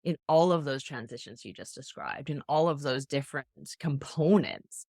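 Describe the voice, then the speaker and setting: A podcast host talks in a casual interview, affirming the guest and restarting sentences as thoughts form. The voice sits at an ordinary middle pitch. The recording is dry and close. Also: normal speed, darkish tone, no echo. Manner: chatty, affirming, many restarts and fillers.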